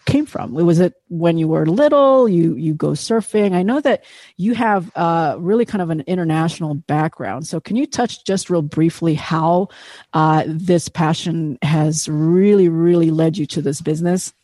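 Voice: medium pitch, moderate pace, mellow, no room sound. A podcast host giving an upbeat, enthusiastic introduction of the guests, full of fillers and "right?". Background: background music.

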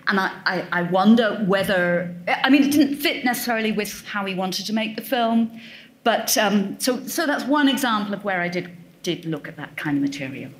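A woman's voice: mid-range voice, animated, normal speed, slight background noise. Thoughtful woman answering audience questions. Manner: thoughtful, self-correcting, wry. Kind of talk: live Q&A talk. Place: event hall with audience.

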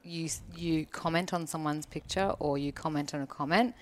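A woman's voice: deep voice, brisk. A young woman on a podcast, chatting casually in a blunt, opinionated way and swearing freely. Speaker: podcast guest. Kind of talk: casual chat.

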